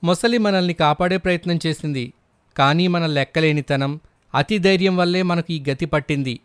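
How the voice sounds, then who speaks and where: Guy doing a cocky foolish fish in a kids story, higher pitched, boastful. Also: moderate pace, clear, resonant tone, no echo, close to an everyday voice. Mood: regretful. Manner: boastful, scoffing. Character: cocky arrogant foolish fish dismissing advice.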